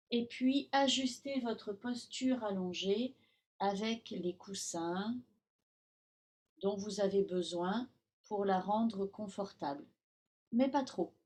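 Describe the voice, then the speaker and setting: A woman yoga teacher guiding a relaxation session in calm, instructional short phrases with long pauses. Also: medium pitch. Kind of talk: guided Yoga Nidra relaxation.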